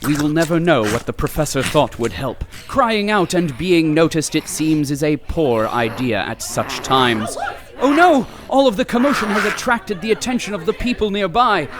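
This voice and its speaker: An actor playing a theatrical radio narrator who delivers ornate, melodramatic horror commentary. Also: male voice, a little high, animated, moderate pace, noisy background, close to an everyday voice. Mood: alarmed. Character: theatrical male radio narrator, dramatic and urgently horrified. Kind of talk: old-time radio style horror commentary. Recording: music bed under the voice.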